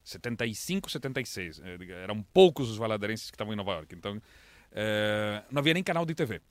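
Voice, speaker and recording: Lively Brazilian man with a mixed accent. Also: quick pace, dry recording. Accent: mixed Brazilian Portuguese. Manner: animated storyteller, lively pitch jumps. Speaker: guest, international correspondent. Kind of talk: casual interview chat.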